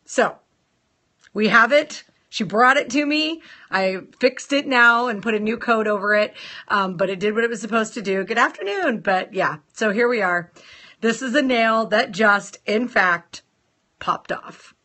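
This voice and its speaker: A woman hosting a casual live stream, chatting playfully with viewers and greeting them in a sing-song lilt, her voice a little rough from a sore throat. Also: on the high side, lively intonation, unhurried, pushed and bright. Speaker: nail salon owner hosting a live stream.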